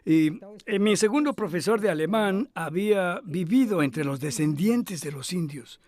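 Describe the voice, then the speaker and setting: An older man being interviewed, speaking in an unhurried, reflective way with hesitant fillers. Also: high-pitched, lively intonation, darkish tone.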